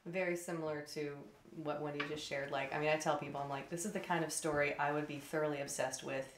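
A woman's voice: low-pitched, fairly even intonation, fast, a little hiss or hum. A woman chatting casually in a roundtable, with an eager, gushing manner. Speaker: drama podcast cast member in a roundtable. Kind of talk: casual chat. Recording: echoey room.

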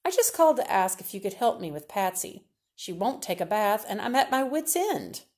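A woman's voice: mid-range voice, big pitch swings, normal speed, a little mellow, pitched above the performer's own voice. A woman reader voicing a harried mother at her wit's end, straining to sound polite. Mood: exasperated. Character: harried, exasperated young mother.

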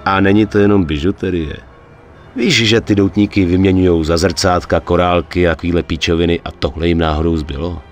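A man's voice: sits low, steady tone, soft background music, close to an everyday voice. A male actor in a dubbed video game, playing a tough, wary, level-headed gangster with a dry, blunt manner.

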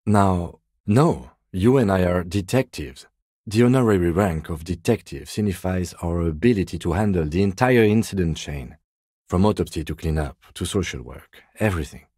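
A man playing a composed, meticulous police lieutenant detective in a video game, speaking in a precise, deadpan, politely reserved way. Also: sits low, moderate pace, slightly rough, no echo, clean studio sound. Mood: firm, instructive. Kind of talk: video game character dialogue.

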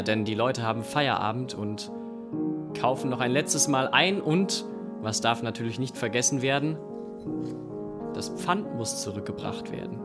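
A young man tells an improvised story from a stage. His medium-pitched voice runs over prominent acoustic guitar, in a storyteller's narrating style. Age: young adult. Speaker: narrator of an improvised audio play. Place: small indoor theatre stage. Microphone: microphone on a table stand. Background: acoustic guitar music.

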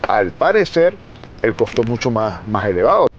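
A man's voice: lowish voice, big pitch swings, moderate pace, lo-fi sound, slight background noise. A man in his forties with a Venezuelan Spanish accent, reporting from inside his car on a city street, questioning people with pointed, indignant questions and emphatic repeats.

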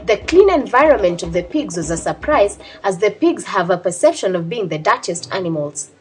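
Female documentary narrator, scripted read, animated intonation, mid-pitched, faint music bed. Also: normal speed.

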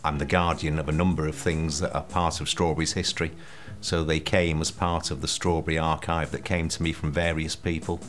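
A man's voice: rumbling low, level tone, brisk. A man giving a factual account as an interviewee about things in his care. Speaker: interviewed archive owner.

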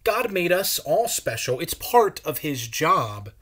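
Man as Larry, bouncy animated cucumber. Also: fairly high pitch, takes it slowly, breathy edge, heavily acted. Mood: cheerful. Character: Larry, cheerful talking male cucumber.

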